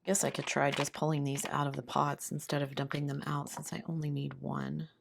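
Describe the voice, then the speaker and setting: A woman hosts a casual craft video in a very deep voice full of fry. She sounds ill and hoarse, as if her voice is giving out. She talks half to herself while she works. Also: level tone, a little fast, slight background noise. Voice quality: hoarse, low voice. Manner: murmuring to herself while working. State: sick, voice giving out.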